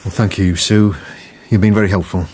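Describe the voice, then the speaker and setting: An actor playing a calm, persistent forensic psychologist with a measured manner. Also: male voice, fairly low pitch, a little hiss or hum, lightly acted.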